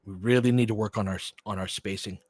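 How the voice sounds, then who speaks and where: A man's voice: lowish voice, steady tone, fast, a little mellow, husky, no room sound, studio quality. A man doing casual live basketball play-by-play and analysis, mixing short clipped phrases with conversational asides as a partisan fan of the team.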